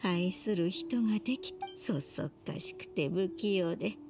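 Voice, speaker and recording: A woman voicing a gentle elderly woman in an anime game, wistful, with old-fashioned grandmotherly phrasing. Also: on the low side, expressive, measured pace, faint music behind. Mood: remorseful.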